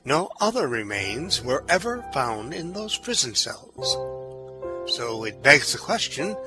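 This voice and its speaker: A man announcing for an audio drama series, reading scripted narration and credits in a measured, formal, theatrical manner. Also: medium pitch, rough recording.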